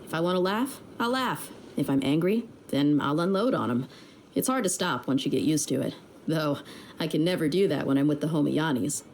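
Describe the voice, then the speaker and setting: A woman's voice: low voice, animated, fairly quick, darkish tone, slight background noise. An actress playing a tough, frank female mercenary leader in a game, easygoing and teasing. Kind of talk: video game cutscene dub.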